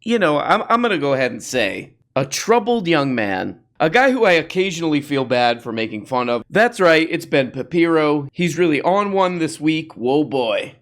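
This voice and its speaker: A man's voice: a little high, big pitch swings, moderate pace, few pauses, a bit bright. A man hosting a comedy show, mocking clips in a rambling, self-interrupting way while losing his voice. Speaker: host.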